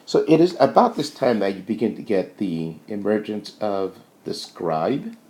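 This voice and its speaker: A man lecturing informally in a halting, think-aloud way, often checking in with short tags as he explains. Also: lowish voice, animated, a little mellow.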